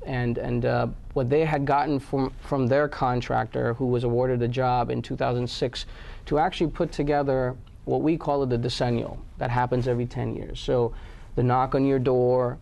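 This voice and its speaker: A man's voice: medium pitch, little pitch movement, moderate pace, gravelly, darkish tone. A man from Pakistan answering interview questions about his company, with many fillers and restarts. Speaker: guest, company head.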